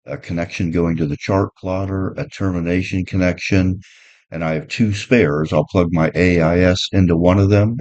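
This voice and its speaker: A man's voice: sits low, a little mellow, no room sound. A man giving a practical how-to narration in a plain, step-by-step way, like a boat owner explaining his gear. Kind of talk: practical how-to narration, partly read, partly off the cuff.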